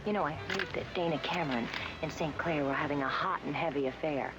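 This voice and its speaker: A curious young woman detective, played by an actress in an old TV crime show, asking pointed questions in a low, dark voice. Loud music from the soundtrack runs under her lines. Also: a little fast, close to an everyday voice. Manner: inquisitive, gossipy. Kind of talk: 1970s TV drama acting.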